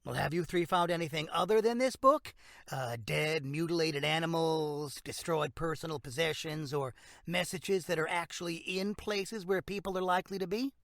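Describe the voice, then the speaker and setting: A man's voice: fairly high pitch, moderate pace, creaky, a bit bright, no echo, studio quality. A man acting as a frank, condescending small-town sheriff in an audio drama. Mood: matter-of-fact, skeptical. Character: dismissive, bureaucratic small-town male sheriff.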